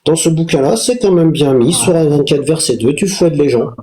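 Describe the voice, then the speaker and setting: A man's voice: a little high, lively intonation, moderate pace, dark tone. A man hosting a heated live debate, blunt and combative, speaking crudely. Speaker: live debate show host.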